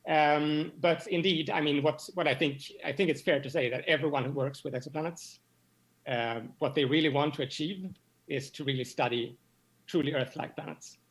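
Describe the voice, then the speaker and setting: A man explaining science as an interview guest, halting and often repeating words as he goes. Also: a little high, fairly bright tone. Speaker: astronomy professor, interview guest.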